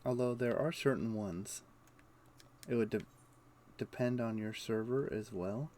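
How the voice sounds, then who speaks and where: A man's voice: medium pitch, unhurried, dark tone. A man doing casual video game stream commentary, muttering to himself and explaining things offhandedly. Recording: faint background noise.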